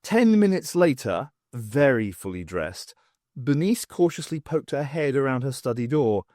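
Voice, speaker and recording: A man's voice: mid-range voice, very animated, a little slow, warm tone, no room sound, studio quality. A man narrating scripted fiction as an audiobook reader.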